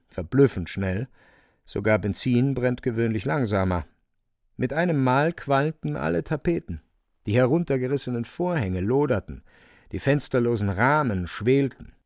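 A man's voice: medium pitch, gravelly, dry recording, studio quality. A man narrating a literary radio play as a wry, vivid storyteller with dramatic delivery.

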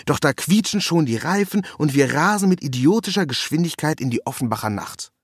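A man's voice: a little high, lively intonation, brisk, breathy edge, dry recording. Male narrator, literary first-person reportage, wry and ironic, very dry sound. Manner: wry, ironic delivery with acted quotes.

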